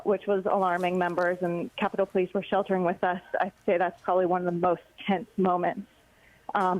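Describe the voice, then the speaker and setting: A young woman reporter phoning in a live report, recounting events hesitantly with many filler words. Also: mid-range voice. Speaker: congressional reporter. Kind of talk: live phone report on breaking news. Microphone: phone. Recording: muffled lo-fi phone line.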